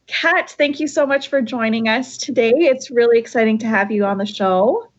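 A woman's voice: fairly high pitch, normal speed, muffled recording. A woman hosting a podcast from home, friendly and chatty with many fillers. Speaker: podcast host. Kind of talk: casual remote interview. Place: her home. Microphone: remote call from home.

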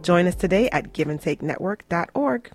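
A woman's voice: lowish voice, expressive, moderate pace. Emphatic woman reading a radio ad over music. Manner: promotional, emphatic. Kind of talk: scripted radio ad read.

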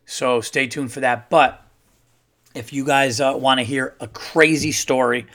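Slightly hoarse man hosting a podcast. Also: medium pitch, steady tone, measured pace, a bit bright. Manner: rambling, chatty, many fillers and restarts. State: slightly hoarse, losing his voice. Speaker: podcast host and comedian. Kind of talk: casual solo podcast monologue.

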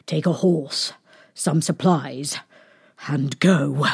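A woman's voice: medium pitch, fast, slightly rough. A woman voicing a despairing, gullible king and sorrowful father from a storybook fairy tale, in a plaintive manner. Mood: sad, wailing.

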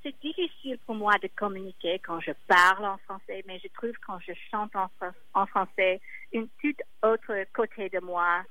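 A woman singer whose first language is English, speaking French haltingly and often pausing to search for words. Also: medium pitch, pushed and bright, rough recording. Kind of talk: interview in a second language.

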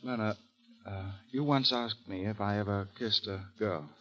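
An actor playing a proper, naive young gentleman, earnest and slightly formal, in 1930s radio comedy. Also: fairly low pitch, moderate pace, muffled recording. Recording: vintage 1930s radio broadcast.

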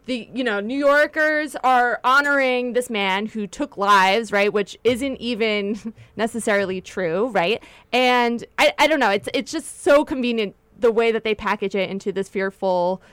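A woman on a panel discussion, animated and opinionated, with frequent "um" and "right?". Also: fairly high pitch, measured pace, few pauses, smooth, no room sound.

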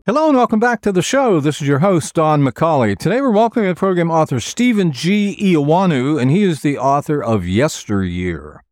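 Male host with lively intonation. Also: fairly high pitch, a little fast, few pauses, dry recording, studio quality, soft background music. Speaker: show host, interviewer. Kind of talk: scripted radio-style interviewing.

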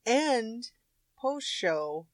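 A woman's voice: fairly high pitch, very animated, drawn-out words, no echo, clean studio sound. A woman co-hosting a radio show in casual, bantering chat.

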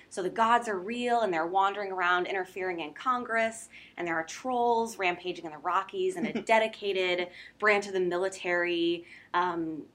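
A woman author pitching her new book in an interview, clear and well rehearsed. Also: a bit bright.

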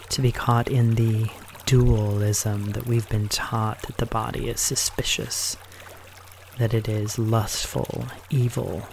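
A man leading a guided meditation in a gentle, unhurried manner, leaving pauses between short phrases. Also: medium pitch, vocal fry, a little hiss or hum.

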